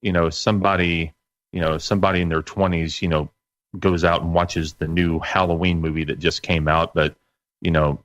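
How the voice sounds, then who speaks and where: Middle-aged male guest, low voice, slightly lo-fi dry audio, halting casual conversation. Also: little pitch movement, a bit bright, dry recording. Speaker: interview guest, internet radio station operator. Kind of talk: casual interview chat.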